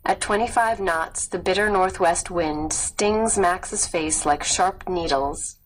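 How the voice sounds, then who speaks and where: A woman reading scripted nonfiction as an audiobook narrator, measured and expressive. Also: fairly low pitch, rough recording.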